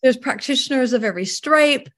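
A middle-aged woman from Canada, a holistic healer answering interview questions in an earnest, emphatic way that sometimes slows down to make a point. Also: sits high.

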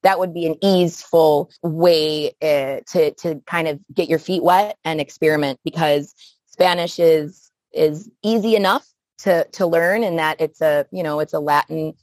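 A woman's voice: low-pitched, unhurried, a little mellow, no room sound. A middle-aged woman from upstate New York, answering interview questions thoughtfully and searching for words as she talks. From Buffalo, New York, United States. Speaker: podcast guest, outdoor play educator.

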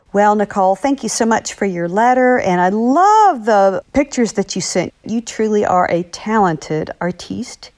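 A woman's voice: medium pitch, darkish tone. A woman speaking as an author answering listener letters, in a chatty, encouraging manner. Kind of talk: friendly listener question segment.

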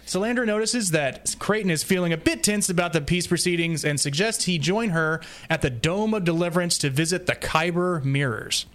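A man with a somewhat high-pitched voice reads from a prepared summary for a podcast. He stumbles here and there and slips in informal asides as he goes. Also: normal speed, hardly any pauses, a bit bright. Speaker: podcast guest presenter. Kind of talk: reading a prepared plot summary.

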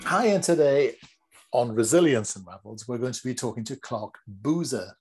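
A man hosts a podcast in a mellow, soft-edged voice of medium pitch. He presents and asks questions as an interviewer, with faint music around the recording. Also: expressive, moderate pace, breathy edge. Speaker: podcast host. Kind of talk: scripted podcast introduction and interview.

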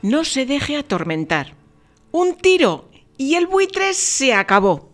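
A woman's voice: high-pitched, sing-song, takes it slowly, fairly bright tone, heavily acted. A woman playing a polite, eager lady passer-by in an audio story, with a theatrical, obliging manner. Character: polite, eager passing lady offering help.

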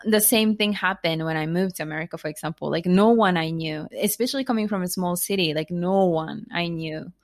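A woman's voice: fairly low pitch, fairly quick, few pauses. A woman from Japan with a Japanese accent, a guest author in a casual interview, chatting with many fillers and restarts.

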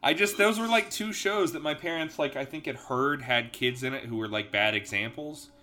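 A man's voice: mid-range voice, moderate pace, sharp tone. A man in his late twenties, a podcast host, chatting casually and rambling through anecdotes, often laughing and trailing off. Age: late 20s. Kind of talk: casual chat.

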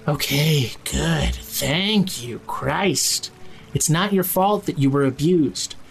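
A man's voice: on the high side, takes it slowly, creaky, a crowd in the background. An actor playing an exasperated but caring man, blunt, sardonic and casual, in naturalistic audio drama dialogue. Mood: exasperated, supportive. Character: Nico, exasperated, sweary, caring male friend. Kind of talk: fiction podcast acting, naturalistic dialogue.

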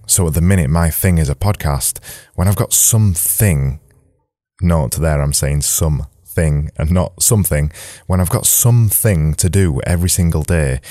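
A man with a hoarse, sore-throated voice, hosting a solo podcast in a candid, rambling way. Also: low-pitched, mellow, no echo, clean studio sound. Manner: rambling, candid, self-deprecating. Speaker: podcast host. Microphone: boom-mounted studio mic.

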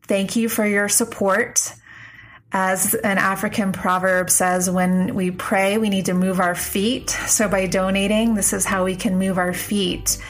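A woman's voice: mid-range voice, little pitch movement, measured pace. Stuffed-up woman hosting a podcast. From United States. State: stuffed up from a cold. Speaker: podcast host. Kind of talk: podcast intro monologue.

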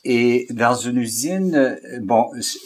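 A man's voice: medium pitch, expressive, takes it slowly, slight background noise. A man in his fifties from Alberta with a Canadian French accent, a Jesuit guest telling his story in an interview. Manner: reflective, recounting with rising animation.

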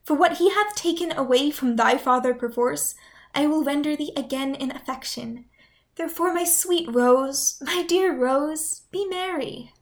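A woman's voice: high-pitched, measured pace. A woman playing an affectionate, playful young noblewoman in a Shakespearean radio play, with a coaxing, tender, articulate delivery.

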